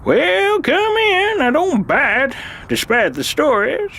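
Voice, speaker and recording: Audio drama role: a man as a weary, sardonic old alien uncle, grumbling in drawn-out, slow phrases with big pitch swings. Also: wildly swooping pitch, gravelly, pushed and bright, full character voice. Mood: gruffly welcoming. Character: cranky tired old alien chief historian, crazy uncle.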